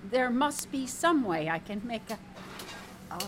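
An actress playing a sharp-tongued, harried woman in a radio comedy, sarcastic and exasperated. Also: fairly high pitch, animated, unhurried, background noise. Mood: grateful, rueful. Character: wry, harried woman, broke and sharp-tongued bar worker. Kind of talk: radio comedy drama acting.

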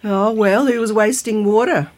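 A woman playing a brisk, dry-humoured older Australian grandmother in a naturalistic audio drama. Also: medium pitch, fairly even intonation, a little mellow, natural voice. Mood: bossy.

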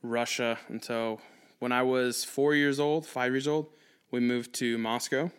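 A man's voice: mid-range voice, steady tone, unhurried, a bit bright, smooth. A man from Texas, raised mostly in Eastern Europe, chatting casually and jokingly as a podcast guest. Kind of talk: casual anecdotal chat.

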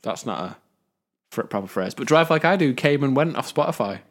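A man's voice: medium pitch, animated, fairly quick. A man co-hosting a podcast in casual chat, sniffly with a cold, rambling and laughing. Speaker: podcast co-host.

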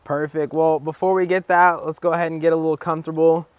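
A young man hosting a podcast, relaxed and friendly as he asks his guest easy questions. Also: a little high, fast, smooth, dry recording, phone-quality sound. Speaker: podcast host. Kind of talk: casual interview chat.

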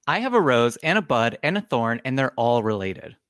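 Podcast co-host, male, hoarse scratchy voice, joking tone, dry close sound. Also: mid-range voice, sing-song, normal speed, a bit bright, dry recording. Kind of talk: casual chat.

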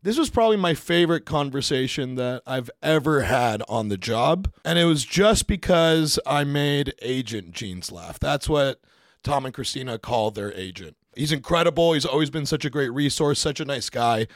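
A man telling work stories in a casual, animated way, swearing freely and acting out the conversations as he goes. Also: mid-range voice, moderate pace, no room sound. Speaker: podcast studio staff member telling work anecdotes. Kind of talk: casual storytelling to camera.

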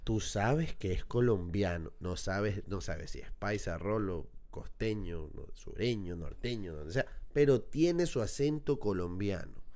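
Lively low-voiced man. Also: measured pace, few pauses. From Ecuador. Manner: animated, argumentative, laughs often. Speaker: podcast host. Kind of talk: casual chat.

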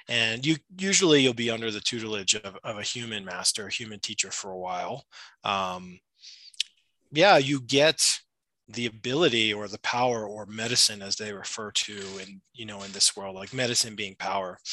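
Middle-aged American man, bright and low-pitched, answers thoughtfully with lots of ums; dry sound. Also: normal speed, no room sound, rough recording. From United States. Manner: thoughtful, many fillers and restarts. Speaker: shamanic practitioner and retreat facilitator. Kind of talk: interview answers, explanatory. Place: Peru.

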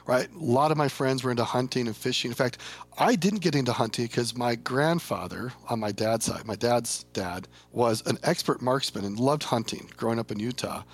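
A mid-pitched man from Minnesota chats over a video call and tells stories with easy laughs. His speech carries no regional accent. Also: normal speed, breathy edge. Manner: chatty storyteller, laughs often. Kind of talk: casual conversation.

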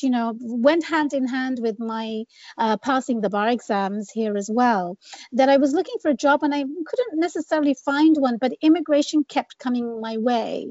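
A woman from England talks through her career as a guest, earnest and reflective, with frequent "you know" fillers. Her voice sits fairly high but sounds mellow and dark. The audio is dry and a little dull, like a remote call recording. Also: dry recording. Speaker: immigration lawyer, guest. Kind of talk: conversational interview answers.

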